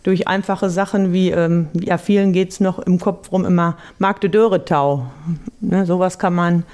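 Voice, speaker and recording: A woman's voice: fairly low pitch, fairly even intonation, moderate pace, darkish tone. A woman, answering interview questions hesitantly with many fillers. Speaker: amateur theatre member.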